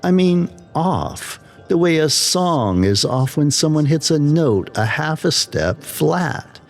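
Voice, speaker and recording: A man narrating a scripted slow-burn horror story in a measured way with dramatic pauses. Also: on the high side, sing-song, vocal fry.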